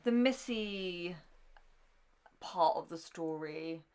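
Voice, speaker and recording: A woman with a fairly low voice talks casually through her reactions. She thinks aloud, hesitating and circling back as she shapes her opinion. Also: drawn-out words, darkish tone, smooth. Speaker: reaction show host, newcomer to the series.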